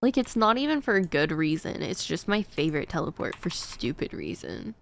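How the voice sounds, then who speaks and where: A woman's voice: deep voice, big pitch swings, normal speed, creaky. A woman streaming a game, chatting casually to her viewers in a rambling way with frequent fillers. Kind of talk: casual chat while gaming.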